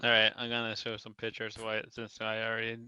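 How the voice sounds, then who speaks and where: A man's voice: lowish voice, completely flat delivery, fairly quick, pushed and bright, smooth, dry recording, dull audio. A man chatting casually as a player in a tabletop role-playing game. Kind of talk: casual chat.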